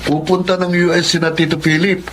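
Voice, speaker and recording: A man's voice: on the high side, flat delivery, quick pace, fairly bright tone, a little hiss or hum, lightly acted. An actor playing a friendly young man from the employer's family, talking casually.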